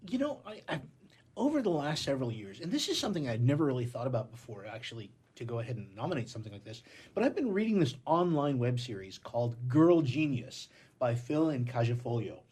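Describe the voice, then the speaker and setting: A man's voice: mid-range voice, sing-song, fast, a little mellow, breathy edge. A man speaking casually as a panelist in a book discussion.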